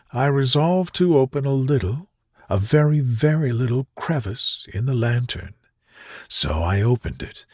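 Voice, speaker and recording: A man narrating a dark first-person horror story as a bedtime reading, deliberate and dramatic, sometimes dropping into a whisper. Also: medium pitch, breathy edge, dry recording, clean studio sound. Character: nervous, obsessive madman confessing a murder, first-person narrator.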